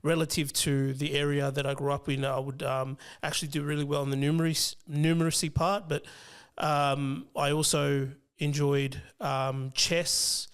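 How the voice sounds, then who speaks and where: A young man with an Australian accent, whose first language was Fijian, talking casually as an interview guest, hesitant with many pauses and restarts. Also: on the high side, little pitch movement, smooth. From Western Sydney, Australia. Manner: hesitant, many ums and restarts, laughs easily. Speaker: financial planner guest.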